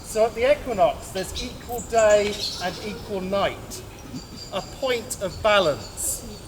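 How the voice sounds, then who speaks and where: A man's voice: sits high, expressive, slow pace. A man, a priest, giving a chatty, explaining talk to a gathering and laughing at his own jokes. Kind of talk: informal address to a gathering. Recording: noisy outdoor ambience.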